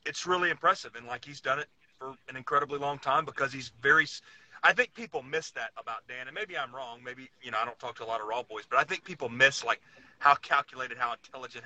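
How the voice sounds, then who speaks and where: A man's voice: medium pitch, bright, forceful tone, low-quality recording. A man co-hosting a casual sports podcast, talking earnestly and emphatically, piling up intensifiers. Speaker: podcast co-host.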